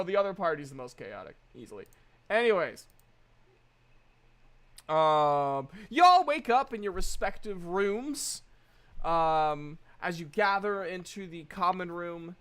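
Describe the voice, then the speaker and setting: A woman running a tabletop game, narrating casually with slangy, joking asides. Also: fairly low pitch. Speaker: game master.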